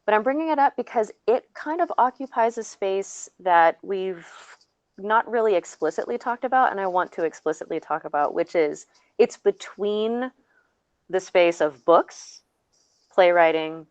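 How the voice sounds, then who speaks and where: A woman producer talking casually on a panel, explaining in a halting way and often checking in with the listener. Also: mid-range voice, animated, smooth, no room sound.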